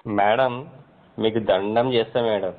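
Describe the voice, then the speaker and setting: A man's voice: lowish voice, steady tone, measured pace. A man, a reporter speaking deferentially in a phone interview. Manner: deferential, repeatedly saying madam. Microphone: phone line. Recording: noisy, tinny phone call recording.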